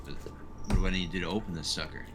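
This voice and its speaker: A man's voice: low voice, rapid-fire, noisy background. A man playing a tabletop role-playing game, talking casually about his moves.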